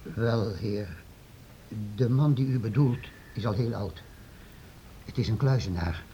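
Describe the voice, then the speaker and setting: An actor playing a timid, deferential castle servant in a period radio play, hesitant, evasive and stammering. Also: male voice, fairly low pitch, measured pace, dark tone. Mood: wary. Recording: dull, noisy old radio recording.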